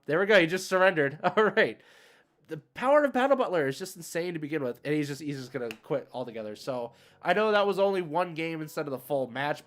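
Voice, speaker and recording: A man hosting a casual game livestream, thinking aloud in an easygoing, somewhat hesitant way as he plays. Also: on the high side. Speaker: livestream host and card game player. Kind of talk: casual live game stream commentary.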